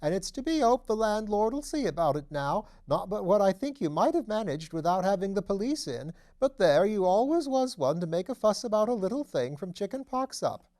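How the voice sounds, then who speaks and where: A man voicing a grumbling, deaf old mother with a high, piping voice in an audiobook. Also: very animated, brisk, hardly any pauses, mellow. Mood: scolding. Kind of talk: audiobook character voice.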